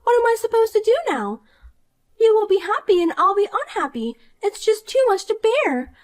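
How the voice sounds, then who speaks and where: A woman's voice: very high voice, sing-song, normal speed, voice pushed up. A woman voicing a shallow, selfish, jealous young woman in a dramatized story, accusing and self-pitying. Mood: whiny.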